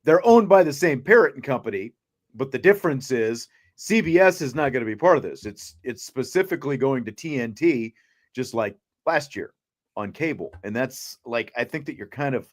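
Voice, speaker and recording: A man hosting a sports talk show, speaking casually in a measured, conversational way. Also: mid-range voice, animated.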